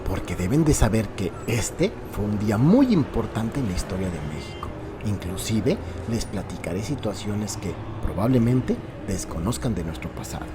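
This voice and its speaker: A man's voice: fairly low pitch, big pitch swings, normal speed, few pauses, noisy background. A man with a Mexican accent narrates a crime radio serial as a dramatic scripted story, addressing listeners directly in a theatrical way. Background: background music.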